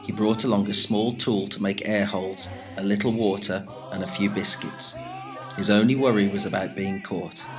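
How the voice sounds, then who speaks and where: Man, narrator, scripted reading, steady and explanatory, low pitch, loud music bed. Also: moderate pace. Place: school recording studio. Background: music playing under the voice.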